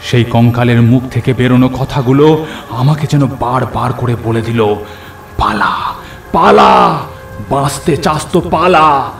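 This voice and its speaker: A man's voice: mid-range voice, a little slow, soft background music, lightly acted. A man playing a young nephew who narrates a horror cartoon story in a dramatic way. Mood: terrified. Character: young nephew narrating his night of terror. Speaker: narrator and character. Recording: roomy echo, faint rain and thunder.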